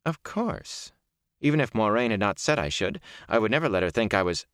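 A man voicing a fierce young village wise woman with a sharp, touchy, bossy manner in a fantasy audiobook. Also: fairly low pitch, animated, a little fast, no room sound, studio quality, pitched above the performer's own voice. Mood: proud, firm.

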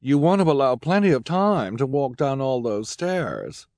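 Man putting on a haughty, high-pitched society woman. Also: very animated, darkish tone, no room sound. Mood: brisk, dismissive. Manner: affected, breathy gasps, emphatic drawn-out words. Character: haughty, gushing, fashion-obsessed rich woman guardian. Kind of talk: audiobook character voice.

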